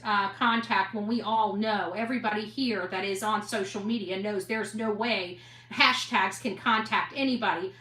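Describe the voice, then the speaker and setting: A woman's voice: a little high, bright, forceful tone, reverberant, low-quality recording. A woman talking straight to her online audience as an investigative host, blunt, emphatic and defiant. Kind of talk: impassioned monologue to online audience.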